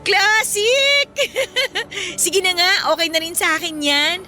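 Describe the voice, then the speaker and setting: A high, bright-voiced Filipino schoolgirl, acted by a woman in a radio romance drama. She is expressive and bantering, quick to get defensive. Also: soft background music, full character voice. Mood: playful. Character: playful, defensive teenage schoolgirl pretending to be rich.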